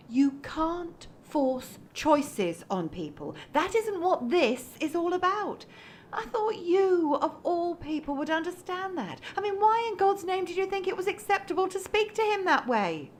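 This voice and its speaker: Radio drama: woman playing principled, reproving support worker, very high register, animated, exasperated, slightly noisy recording. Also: unhurried, exaggerated voice. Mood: exasperated, stern. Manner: reproving, articulate, earnest. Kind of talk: naturalistic radio drama acting.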